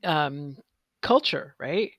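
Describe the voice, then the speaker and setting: A woman from Canada speaking as an interview guest, chatty and expressive, often tagging her points with "right?". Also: sits low, drawn-out words, no room sound, studio quality. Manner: chatty and expressive, laughs easily, fillers like "right?". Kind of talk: conversational interview.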